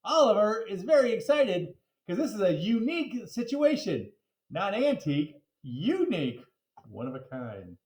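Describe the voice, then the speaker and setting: A man reads a children's storybook aloud with big, lively swings in pitch. His delivery is playful and theatrical, stretching words for emphasis. The sound is roomy and echoing. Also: unhurried. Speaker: teacher reading aloud to a class.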